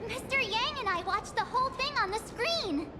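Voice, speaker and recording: Very high, perky childlike companion. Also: female voice, expressive, normal speed, long reverb tail, noisy background. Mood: teasing, gleeful. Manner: bubbly, teasing, exclamatory. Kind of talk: animated video game character dialogue.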